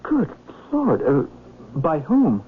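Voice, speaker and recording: An actor playing an anxious, well-bred personal secretary, polite and hesitant, in a radio mystery. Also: male voice, a little high, huge pitch swings, slow pace, heavily acted. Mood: startled, uneasy. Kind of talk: radio mystery drama acting. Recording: tinny, faintly noisy old radio recording.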